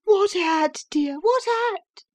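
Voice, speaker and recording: A woman's voice: lively intonation, slow pace, exaggerated voice. Screaming panicked mother. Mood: anxious. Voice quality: high screaming voice.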